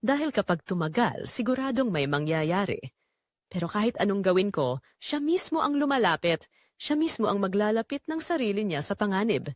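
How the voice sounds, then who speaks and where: A woman narrates a scripted drama in the first person. Her mid-pitched voice moves through wide, expressive intonation. She phrases in a measured, dramatic way with short pauses, and the recording is dry with no room sound. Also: dry recording.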